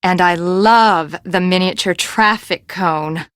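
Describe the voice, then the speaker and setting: An actress playing an imperious, eccentric fashion designer in a video game, with a haughty, dramatic, emphatic delivery. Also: female voice, lowish voice, slow, fairly bright tone, clean tone, no room sound, clean studio sound. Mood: delighted, affected.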